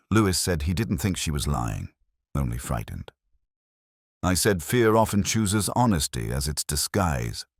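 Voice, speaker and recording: A man reading a scripted first-person detective story in a measured, reflective, literary manner. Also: extremely low pitch, no room sound, clean studio sound. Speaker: narrator.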